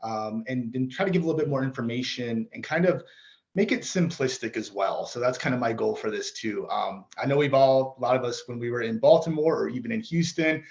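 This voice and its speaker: A man's voice: medium pitch, fairly quick, creaky, dull audio. A man, a chiropractor, giving an informal talk to parents in plain words, with ums and kinda. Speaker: chiropractor presenting. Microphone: computer mic on a video call.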